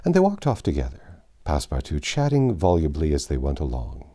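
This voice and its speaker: A man reading a classic novel aloud as an expressive audiobook narrator. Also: a bass voice, brisk.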